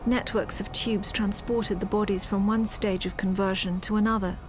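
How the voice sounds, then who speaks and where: A woman playing a serious military officer who recounts a war in measured, matter-of-fact game narration. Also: medium pitch, little pitch movement, music in the background, lightly acted. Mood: grave, detached. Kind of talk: scripted cinematic game narration.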